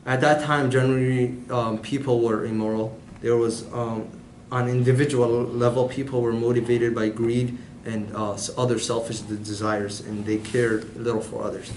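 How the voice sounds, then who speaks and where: Flat-voiced student presenting in an echoey room. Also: male voice, medium pitch, moderate pace, few pauses, a little hiss or hum. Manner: hesitant, many "um" fillers. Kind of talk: prepared class presentation.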